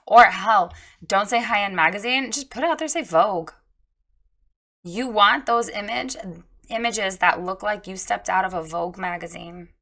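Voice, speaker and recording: Bright, frank woman with a hoarse voice. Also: mid-range voice, moderate pace. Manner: frank, chatty, many ums and restarts. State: hoarse, recovering from lost voice. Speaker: website reviewer giving feedback. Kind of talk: casual spoken critique.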